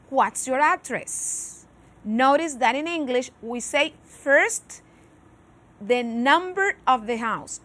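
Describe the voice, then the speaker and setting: Middle-aged female TV teacher with a Cuban Spanish accent, slightly high voice, slow and careful, switching between Spanish and English, studio lapel sound. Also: fairly bright tone. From Cuba. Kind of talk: televised classroom lesson. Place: TV studio with virtual set.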